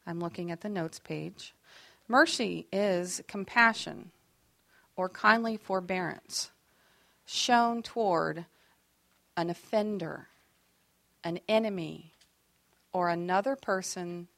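A lively woman teaching a faith workshop, her voice sitting a little low for a woman. She talks in a chatty, self-deprecating way and acts out the lines she quotes. The sound is dry and close, with little room echo. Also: takes it slowly, creaky, pauses often. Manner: animated and expressive, acting out quoted lines.

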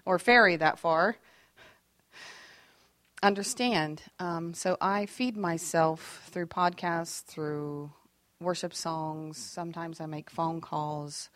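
A lively woman teaching a faith workshop, her voice sitting a little low for a woman. She talks in a chatty, self-deprecating way and acts out the lines she quotes. The sound is dry and close, with little room echo. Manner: animated and expressive, acting out quoted lines.

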